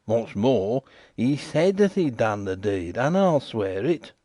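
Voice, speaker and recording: A man's voice: medium pitch, sing-song, unhurried, vocal fry, a little mellow, dry recording, clean studio sound, pitched above the performer's own voice. A man voicing a shifty, indignant riverside informer, insistent and repetitive.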